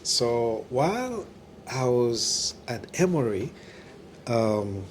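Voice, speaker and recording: A man from the Democratic Republic of Congo, a pastor answering interview questions in a hesitant way with many pauses. His is Kiluba. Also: mid-range voice, sing-song, slight background noise. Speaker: pastor, church regional staff.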